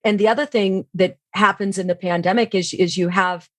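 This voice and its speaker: A woman's voice: mid-range voice, steady tone, a little fast, no echo. Instructive woman, dry close sound. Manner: instructive, asks and answers own questions. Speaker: guest, sales training expert. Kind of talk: podcast interview, explanatory answers. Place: remote online call.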